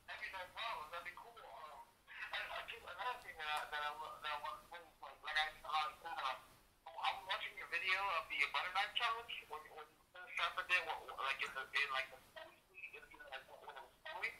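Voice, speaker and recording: Young guy on speakerphone, really sharp tone, echoey w faint noise, rambles and repeats himself. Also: fairly high pitch, expressive, fast. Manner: hesitant, rambling, repeats words. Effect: phone call on speakerphone. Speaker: customer and fan on a phone call. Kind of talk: casual chat.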